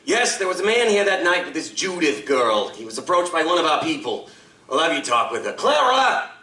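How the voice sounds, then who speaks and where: A jittery, slippery theater owner with a high, bright voice that leaps around. He stammers and hedges, heard far off in an echoey hall. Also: big pitch swings, brisk. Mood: evasive. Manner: flustered, stammering.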